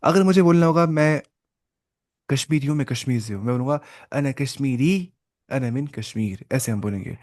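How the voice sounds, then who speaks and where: A man's voice: medium pitch, darkish tone, dry recording, studio quality. Patient man teaching a class on a call. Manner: slow, careful sounding-out of example phrases; patient, repetitive explaining. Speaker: Arabic teacher. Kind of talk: online class lecture. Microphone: video call.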